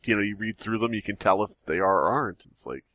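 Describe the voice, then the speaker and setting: A lower-voiced man reads a story aloud and chats in an easygoing, teasing manner. His voice sounds dry and close, with no room around it. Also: fast talker, fairly bright tone, no echo. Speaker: podcast co-host reading the story. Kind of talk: casual chat and story reading.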